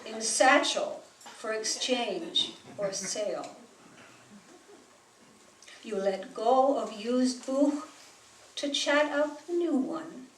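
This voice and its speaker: Slow, unhurried woman poet reading aloud. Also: a little high. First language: German. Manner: slow, measured delivery with pauses. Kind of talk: poetry reading at a live event. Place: bookstore reading room with an audience. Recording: roomy, distant sound with faint noise.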